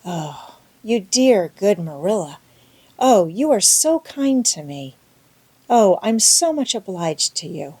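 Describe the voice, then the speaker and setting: A woman voicing an excitable, talkative, imaginative young girl in an audiobook, gushing and breathless. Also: mid-range voice, slow pace, slightly rough, heavily acted. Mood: rapturous gratitude. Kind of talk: audiobook character voice.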